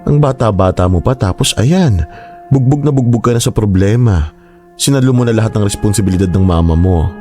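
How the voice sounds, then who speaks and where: A man's voice: lowish voice, a little fast, warm tone, lightly acted. A man playing a concerned, exasperated middle-aged uncle in a Tagalog radio drama. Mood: sympathetic. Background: music.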